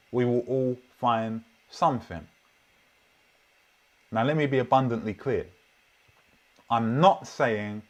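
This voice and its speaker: A young man with a London accent preaching a sermon, telling personal stories in an earnest, reflective way. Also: medium pitch. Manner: earnest, reflective storytelling with frequent restarts. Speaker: pastor.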